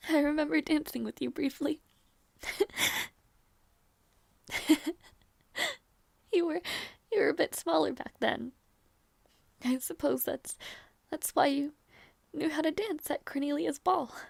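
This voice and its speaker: A woman playing a gentle, earnest young princess in a romantic fantasy audio roleplay, speaking softly and hesitantly with tender affection. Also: high voice, vocal fry, no echo. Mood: fond, wistful. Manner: soft, hesitant, tender, halting delivery.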